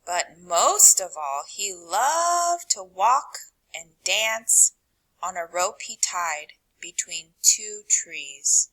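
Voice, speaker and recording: A woman reading a picture book aloud to children, with dramatic, deliberate phrasing that drops to a hush and rises for emphasis. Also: fairly low pitch, animated, low-quality recording. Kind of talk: expressive read-aloud narration for children.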